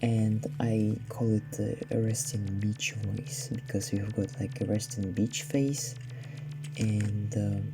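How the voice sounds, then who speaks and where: A man talking slowly and unhurriedly through a gameplay session, his delivery dry and sardonic with a faintly glum edge. He hesitates often and fills gaps with ums and uhs. Game music plays clearly behind him. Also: on the low side, steady tone. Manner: sardonic, slightly down-sounding, hesitant with many fillers. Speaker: let's play gamer. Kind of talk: casual gameplay commentary.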